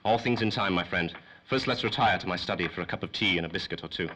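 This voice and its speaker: A man's voice: deep voice, quick pace, bright, forceful tone. A man playing a courteous, secretive old doctor with formal, conspiratorial manners in 1930s radio play style. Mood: polite. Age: older.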